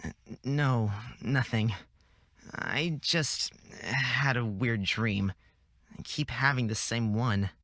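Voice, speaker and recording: A woman's voice: a bass voice, slow, fairly bright tone, no room sound, studio quality, pitched below the performer's own voice, exaggerated voice. A woman voicing a reserved, earnest and reassuring young male hero in a visual novel. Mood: troubled. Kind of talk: visual novel character reading. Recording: background game music.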